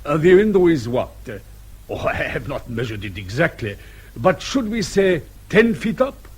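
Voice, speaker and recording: An actor playing a courteous, deliberate police colonel in a radio drama, gently sardonic and measured with hesitations. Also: male voice, a little high, very animated, slightly rough. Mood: reasoning, insistent.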